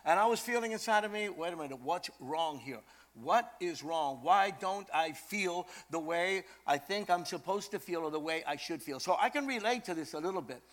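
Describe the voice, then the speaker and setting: High-voiced older New man preaching. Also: normal speed. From Brooklyn, New York, USA. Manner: animated, emphatic, repeats phrases for effect. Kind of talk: storytelling sermon.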